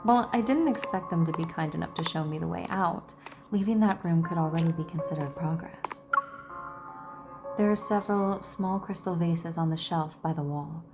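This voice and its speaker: A woman with a slightly low voice narrates a game's story text aloud. She drops in casual commentary as she goes, while music plays loudly behind her. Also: normal speed. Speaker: gaming commentator. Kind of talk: reading game text aloud with casual reactions. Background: loud game music.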